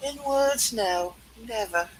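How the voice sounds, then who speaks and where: A performer playing a sorrowful young fiancée, speaking earnestly and deliberately in an amateur play reading. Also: mid-range voice, expressive, poor audio. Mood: sad, reproachful. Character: sorrowful young fiancée gently ending her engagement.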